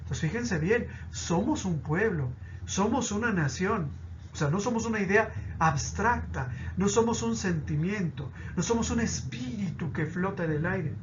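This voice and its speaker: A man's voice: high-pitched, lively intonation, moderate pace, highs cut off, slight background noise. A man teaching a pastoral catechism lesson, explaining with examples and often checking in with "¿sí?".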